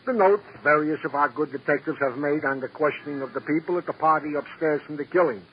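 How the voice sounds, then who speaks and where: A man's voice: a little high, fast, close to an everyday voice. A man playing a fussy, devoted police assistant with ornate, precise speech in a classic radio drama. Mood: fussy, attentive. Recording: dull, noisy old radio recording.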